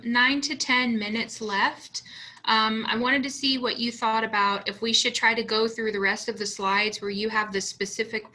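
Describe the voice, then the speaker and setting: Bright-voiced woman moderating. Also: on the high side. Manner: polite, measured, with ums. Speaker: session moderator. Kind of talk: moderating an online session.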